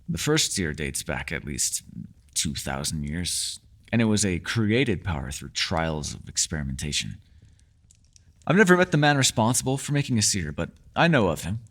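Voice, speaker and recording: A man's voice: sits low, sing-song, normal speed, a bit bright, exaggerated voice. A man playing a mysterious, ageless male elf in a fantasy ASMR roleplay, teasing yet secretive, with courteous, formal, old-fashioned phrasing. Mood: explanatory, measured.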